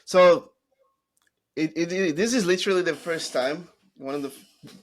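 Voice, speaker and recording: A man's voice: fairly high pitch, normal speed. A man with a Portuguese accent hosting a podcast interview, chatty and enthusiastic, with lots of fillers. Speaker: podcast host. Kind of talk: casual interview chat.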